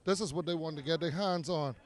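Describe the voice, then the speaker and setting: A man's voice: on the high side, fast. A man from Grand Bahama with a Bahamian accent, answering interview questions as a community activist, earnest and persuasive, repeating words for emphasis, recorded outdoors in a park.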